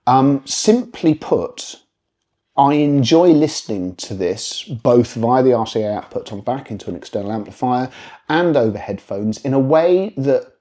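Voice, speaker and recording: A British man presenting a conversational product review, speaking in a measured, articulate, discursive way with wry asides. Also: medium pitch, animated, mellow.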